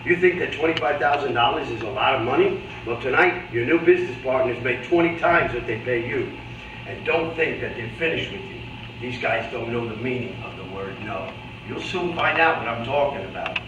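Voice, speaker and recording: A man's voice: medium pitch, normal speed, highs cut off, lightly acted. A man playing a world-weary boxing manager in a staged reading, speaking bluntly and matter-of-factly as he lectures his fighter. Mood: stern, warning. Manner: blunt, cautionary, matter-of-fact. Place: live staged reading in a roomy indoor space. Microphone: distant video camera. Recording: echoey, noisy room, distant camera microphone.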